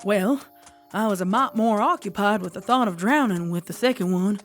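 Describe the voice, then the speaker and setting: A woman's voice: mid-range voice, normal speed, soft background music, natural voice. A woman voicing a plainspoken, uneasy country pony mare in a fan fiction audio drama. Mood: sheepish. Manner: folksy, plain, reluctant.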